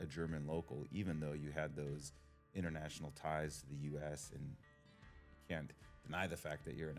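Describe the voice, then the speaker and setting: Host: American man, extremely low voice, asks long layered questions, soft music under him. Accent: American English. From United States, Los Angeles area. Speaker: interviewer. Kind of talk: casual interview conversation.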